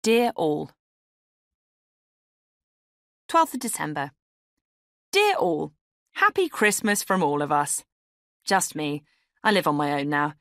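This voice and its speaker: A woman acts out a young British woman reading her satirical Christmas email in an audiobook. She is brittle and over-bright, leaning on words with sarcastic, arch emphasis. The sound is dry and close. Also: on the low side, dry recording, heavily acted. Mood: falsely cheery. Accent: British English. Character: brittle, falsely cheery young female Christmas-newsletter writer. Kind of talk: satirical audiobook monologue as a round-robin email.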